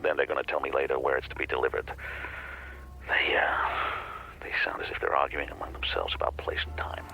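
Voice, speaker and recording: A guarded private detective in a radio drama, with an extremely low, deep voice. He answers tersely and cautiously, giving little away. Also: flat delivery, brisk, vocal fry, whispered, lo-fi sound. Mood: cautious, reluctant. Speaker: actor.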